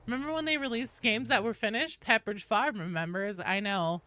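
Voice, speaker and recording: A woman's voice: a little high, fairly quick, bright, forceful tone, no echo, faint music behind. A woman livestreaming a game, chatting casually with viewers as she reads out their comments, swearing freely and reacting playfully. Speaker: game livestreamer reading and answering viewer chat. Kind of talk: casual live stream chat.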